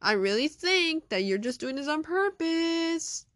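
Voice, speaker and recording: A woman's voice: high voice, very animated, measured pace, fairly bright tone, no room sound, studio quality, pitched above the performer's own voice, heavily acted. A woman voicing a cheeky, skeptical, whiny schoolkid with slangy, questioning talk.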